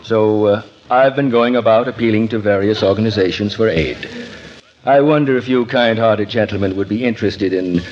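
Actor playing a gentle, low-voiced 1940s radio preacher. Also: male voice, moderate pace, natural voice. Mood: gentle, earnest. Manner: polite, hesitant, formal, gently persuasive. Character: kindly, earnest male preacher, mild and courteous. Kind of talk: 1940s radio comedy acting. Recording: dull, faintly noisy 1940s radio recording.

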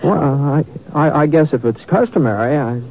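An actor playing a mild, polite cowboy in a radio western, stammering and hesitant in a folksy drawl. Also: male voice, fairly high pitch, lively intonation, moderate pace, creaky. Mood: puzzled. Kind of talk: 1950s radio western acting. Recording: dull lo-fi 1950s radio recording.

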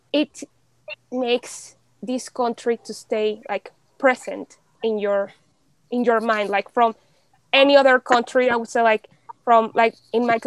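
A young woman from Mexico in casual conversation, chatty, with many fillers and an animated, often laughing delivery. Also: a little high, smooth, dry recording, low-quality recording. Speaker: podcast guest.

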